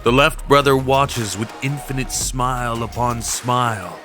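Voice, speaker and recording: Guy narrating a dramatic fantasy story, slow and solemn, music playing pretty loud behind him. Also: medium pitch. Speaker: narrator and voice performer. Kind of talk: scripted second-person dramatic story narration. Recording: prominent music under the voice. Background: dramatic background music.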